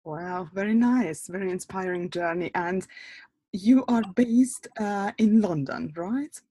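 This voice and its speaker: A woman's voice: mid-range voice, takes it slowly, mellow, no room sound, studio quality. A woman hosting a podcast interview, asking encouraging questions. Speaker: podcast host.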